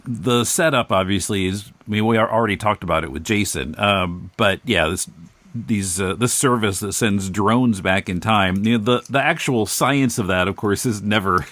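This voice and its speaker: Low-voiced man, close dry sound. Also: normal speed, fairly bright tone, no room sound. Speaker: podcast co-host. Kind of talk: casual discussion of a graphic novel.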